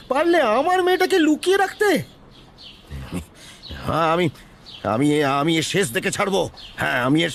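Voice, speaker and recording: A man's voice: sits high, heavily acted. A man playing a rude, suspicious, irate wealthy man, harsh and blustering, in an audio story with a noisy background.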